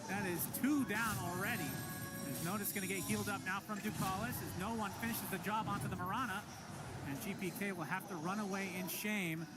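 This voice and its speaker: A man casting a video game match play by play, quick and excitable in his calls. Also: high voice. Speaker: play-by-play caster. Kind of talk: esports play-by-play commentary. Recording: broadcast commentary over loud game music.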